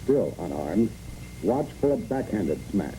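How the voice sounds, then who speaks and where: A man's voice: lowish voice, very animated, a little slow, warm tone, a little hiss or hum. A man reads scripted instructional narration for a training film in clipped, imperative phrases, on a muffled old film recording.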